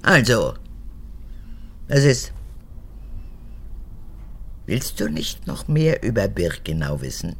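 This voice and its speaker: Husky, creaking old tyrant mother. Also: very animated, takes it slowly, pauses often, full character voice. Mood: needling, dismissive. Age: older. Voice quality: husky, gravelly, low. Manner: theatrical, swinging between whispers and outbursts. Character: old unrepentant female camp guard, capricious, manipulative mother. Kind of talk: radio drama acting.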